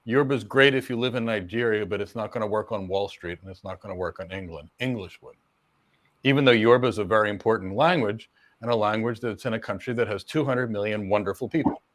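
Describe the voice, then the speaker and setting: Confident man coaching on cloud careers. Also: fairly low pitch. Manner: confident, talks in long runs with repeated words and quick asides. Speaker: cloud career coach answering viewer questions. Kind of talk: live Q&A, casual explanatory talk.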